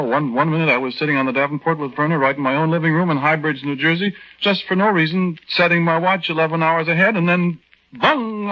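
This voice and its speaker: A man's voice: on the high side, pushed and bright. A man playing a meek, earnest young American army private in a 1940s radio drama, hesitant and stammering, confiding his story with folksy exclamations. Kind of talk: 1940s radio drama acting, confiding first-person narration. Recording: dull, band-limited 1940s radio recording.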